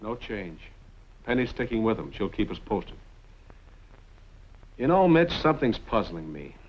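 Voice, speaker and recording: Steady cowboy pilot, muffled vintage recording. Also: male voice, fairly low pitch, a little slow, husky, heavily acted. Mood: calm, purposeful. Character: steady, sharp-minded cowboy pilot and uncle. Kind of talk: old-time western radio drama acting. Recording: muffled vintage radio recording, loud music.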